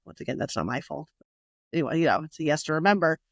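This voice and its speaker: A woman's voice: low voice, expressive, fast talker, no echo, clean studio sound. Chatty woman guest, full of fillers. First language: not Italian. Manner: rambling, heavy on fillers and restarts. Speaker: magazine publisher and guest. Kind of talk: casual podcast interview.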